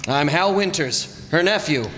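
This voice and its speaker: Flustered young nephew acted by a man, lightly high voice, stammering, evasive, polite, radio play, echoing hall. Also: lively intonation, unhurried, fairly bright tone. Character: nervous, evasive, henpecked young nephew of rich aunt. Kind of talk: old-time radio drama acting. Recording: roomy hall with long reverb.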